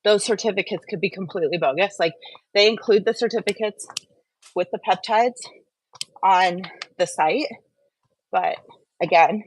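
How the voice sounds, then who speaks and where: A woman's voice: mid-range voice, moderate pace, pauses often, slightly rough, dry recording, rough recording. A woman hosting a casual livestream while walking on a treadmill, talking frankly and directly to her viewers through earbuds. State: warming up from exercise. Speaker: wellness channel host. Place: indoors at home on a treadmill.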